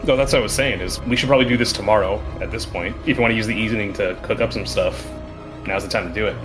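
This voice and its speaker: A man's voice: fairly low pitch, fast talker. A man playing a tabletop game, chatting casually with an insistent, grumbling manner. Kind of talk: casual improvised game banter. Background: music.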